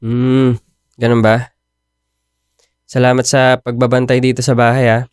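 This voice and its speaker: A man with a Filipino accent playing a young, aloof boyfriend in a radio drama, low-key and hesitant. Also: monotone, measured pace, clean tone, no room sound, studio quality, close to an everyday voice. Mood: casual, aloof. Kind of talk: Tagalog radio drama acting.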